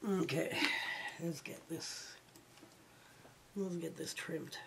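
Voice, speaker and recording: A middle-aged woman hosting a quilting lesson, chatting warmly while she sews, her voice starting to go hoarse. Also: low voice, slow, slight background noise. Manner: chatty, homey, talks while sewing. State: voice going hoarse. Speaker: quilting channel host. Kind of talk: casual sewing tutorial.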